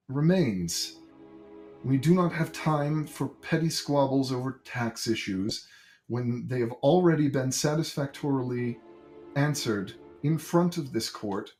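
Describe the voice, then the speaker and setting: A man's voice: medium pitch, a little mellow, close to an everyday voice. A man voicing a stern, irritated king who dismisses petitioners at court. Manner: curt, dismissive, impatient.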